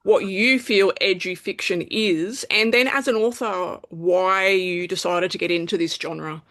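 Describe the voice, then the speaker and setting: A woman hosting an interview, friendly and brisk as she moves the conversation along. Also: lowish voice, fairly bright tone. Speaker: interview host.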